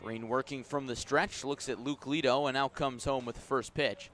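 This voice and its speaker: A man calls a baseball game live for radio from an outdoor ballpark. His voice sits at an average pitch, and he delivers measured, detailed commentary that lifts as each pitch arrives. Music plays in the background. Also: steady tone, a bit bright. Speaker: baseball play-by-play radio announcer.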